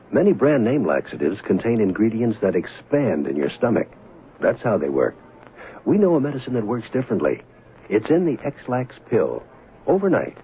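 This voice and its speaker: Low, animated male ad announcer. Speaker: commercial announcer. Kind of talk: 1970s radio ad read. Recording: tinny old radio broadcast, faint noise.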